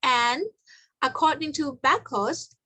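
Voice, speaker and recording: A woman walks listeners through her research in a measured, explanatory and slightly formal manner. Her voice sits fairly high. The sound is dry and close, with no room echo. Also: pushed and bright. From Thailand. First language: Thai. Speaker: researcher presenting her study. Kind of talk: academic conference presentation.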